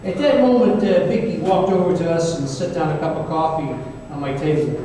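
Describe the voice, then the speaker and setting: A man's voice: fairly high pitch, a little fast, mellow, lightly acted. A man playing a wry, world-weary private eye, telling his case in old-time radio style with a folksy, hesitant delivery. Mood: wry, narrating. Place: live stage performance in an echoey room. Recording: echoey hall, distant mic, faint noise.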